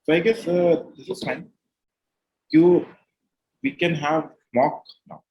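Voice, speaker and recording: A man's voice: a little high, steady tone, slow, warm tone, slight background noise, low-quality recording. A man with an Indian accent working as a mock interviewer, instructive, repeating and rephrasing his questions and advice. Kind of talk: interview questioning and feedback, switching between two languages.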